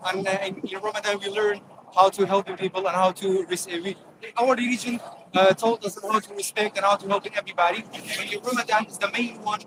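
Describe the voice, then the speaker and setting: A young man with a Kurdish accent chats casually outdoors. His voice is very high for a man, and he repeats and restarts phrases as he explains. Clear traffic noise surrounds him. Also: little pitch movement. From Kurdistan region of northern Iraq. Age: young adult. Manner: halting, repetitive phrase restarts. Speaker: passer-by student being interviewed. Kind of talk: casual chat. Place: outdoors on a motorway bridge. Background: passing vehicles.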